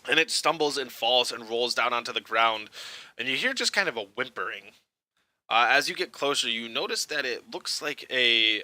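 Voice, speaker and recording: A man runs a tabletop game for friends, setting scenes with halting narration. His voice is bright and forward. The sound is dry and close. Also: medium pitch, no echo. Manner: hesitant, laughing storyteller. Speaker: game master.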